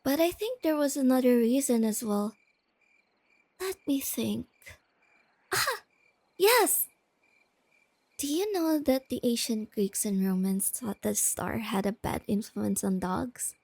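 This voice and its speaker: A woman playing a shy, nerdy young girlfriend in a soft-spoken ASMR roleplay, sweet and earnest, explaining things gently and without hurry. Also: fairly high pitch, expressive, slow, long pauses, dry recording, full character voice. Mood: playful, fond.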